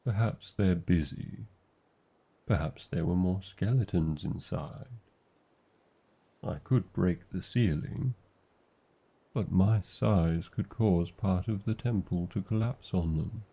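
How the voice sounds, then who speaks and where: Man voicing a deep, dark-toned British dragon. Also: takes it slowly, gravelly, husky, dull audio, exaggerated voice. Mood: worried, tender. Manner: theatrical, formal old-fashioned phrasing, tender endearments. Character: huge male dragon lover, proud, protective, wryly disdainful. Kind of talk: fantasy ASMR audio roleplay.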